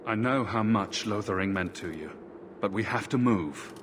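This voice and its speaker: An actor playing a blunt, urgent young warrior brother in a video game drama. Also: male voice, fairly low pitch, normal speed, close to an everyday voice. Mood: firm, concerned. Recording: loud ambient noise behind.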